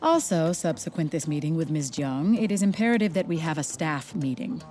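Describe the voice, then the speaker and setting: A woman's voice: low-pitched, big pitch swings, fairly quick, dark tone, faint music behind. An actress playing a stern, formal woman in charge of a rehab center, speaking in precise, authoritative sentences. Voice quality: formal, clipped, authoritative wording. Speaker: facility head. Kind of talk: audio drama acting.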